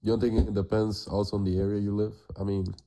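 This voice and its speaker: Young guy, english not his first language, low monotone, unsure. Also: quick pace. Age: 20s. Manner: tentative, hedging. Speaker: debate guest.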